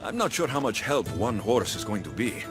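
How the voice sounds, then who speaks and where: An actor playing a confident, flirtatious warrior adventurer in a video game, with a dry, teasing manner. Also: male voice, mid-range voice, very animated, moderate pace, music in the background, close to an everyday voice. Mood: businesslike. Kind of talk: video game cutscene acting.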